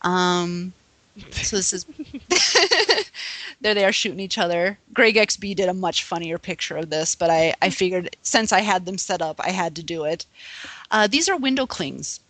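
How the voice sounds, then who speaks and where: A woman's voice: fairly low pitch, lively intonation, moderate pace, a bit bright, no echo. A young adult woman co-hosting a podcast, chatty and giggly, with many fillers. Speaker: podcast co-host. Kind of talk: casual chat showing collectibles.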